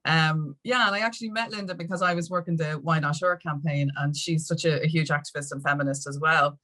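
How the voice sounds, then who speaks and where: A woman's voice: deep voice, fairly even intonation, fast talker, pushed and bright. An Irish woman telling her story as a podcast guest in a chatty, informal way. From Ireland. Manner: chatty and informal, with mild swearing. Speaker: podcast guest, music consultant and campaigner. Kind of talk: casual chat, personal storytelling.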